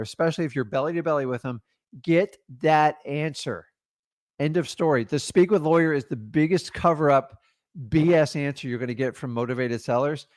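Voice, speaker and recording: A man in his forties coaching on selling, talking to camera in a home studio with a studio mic, punchy and animated, acting out little dialogues. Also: on the high side, no echo. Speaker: real estate coach. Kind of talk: instructional talk to camera. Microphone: studio mic on boom arm.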